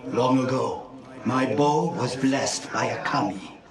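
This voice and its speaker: A man's voice: mid-range voice, slow, roomy sound, distant mic, a crowd in the background. A man playing the trapped spirit of an ancient samurai archer in a video game, formal and commanding. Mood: grave, welcoming. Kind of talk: dramatic game cutscene acting.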